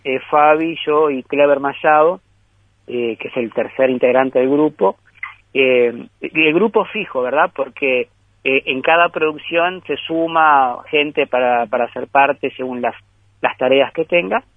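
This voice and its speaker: A man's voice: mid-range voice, measured pace, dry recording. A man, a guest filmmaker, talking through his group's work in a rambling, thoughtful way with many restarts. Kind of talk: conversational interview over the phone. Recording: tinny phone line.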